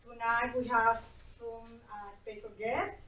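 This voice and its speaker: A woman's voice: fairly high pitch, flat delivery, slow pace. A woman hosting a community event, addressing a gathered audience in an echoey indoor hall. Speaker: event host at a cultural institute. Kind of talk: welcoming an audience, bilingual prayer and remarks. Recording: echoey room, distant mic, loud crowd.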